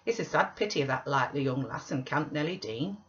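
A woman reading a storybook role as an excitable, gossipy countrywoman servant with a chattering, exclamatory manner. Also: low-pitched, fast, lo-fi sound.

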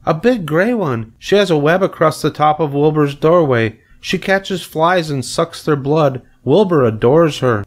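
A man's voice: fairly high pitch, animated, measured pace, hardly any pauses, pitched above the performer's own voice. A man playing a young farm girl in a storybook, chatting in an eager, matter-of-fact, childlike way. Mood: thoughtful, admiring.

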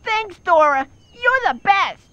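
Cartoon fox: plaintive, whiny, high and bright, for a children's game. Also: male voice, very animated, takes it slowly, no room sound, dull audio, heavily acted. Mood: grateful. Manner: plaintive, exclaiming.

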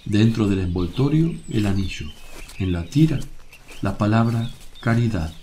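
A man plays a serene master teacher in a religious radio play. His voice is very mellow and slightly low, with affectionate, gentle authority. Birdsong and some noise sit behind it. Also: animated, a little slow. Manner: gentle, solemn, reassuring. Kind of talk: religious radio drama acting. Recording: noisy, birdsong ambience behind.

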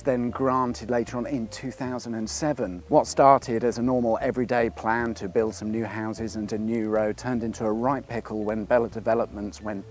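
A man's voice: mid-range voice, dark tone. An English man in his thirties with a dry, sardonic manner, presenting and narrating from a script. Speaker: presenter of an exploration series. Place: outdoors on an empty open road.

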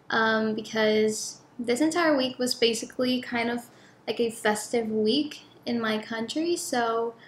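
A young woman vlogger chatting casually and informally to viewers from a room at home, drawing out some words. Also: a little high. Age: young adult. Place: echoey home office room, indoors. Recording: echoey room, clear background noise.

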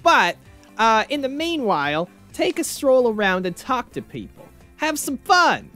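Animated woman ad-libbing over music. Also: on the high side, a little slow. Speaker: gaming channel host. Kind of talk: improvised comic dubbing and casual chat.

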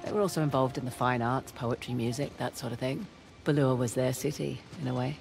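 A woman's voice: a bass voice, steady tone, a little fast, creaky. An actress playing a wry, adventurous female treasure hunter in a video game, with dry, knowledgeable, teasing delivery. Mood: curious. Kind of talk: video game acting, naturalistic dialogue. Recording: game soundtrack music underneath.

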